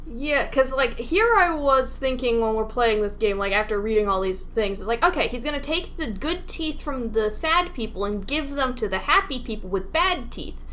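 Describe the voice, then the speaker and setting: Woman, lively melodic delivery, casual comic game chat, emphatic, audible background noise. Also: on the high side, moderate pace, hardly any pauses. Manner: animated, playfully incredulous, emphatic. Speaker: podcast co-host.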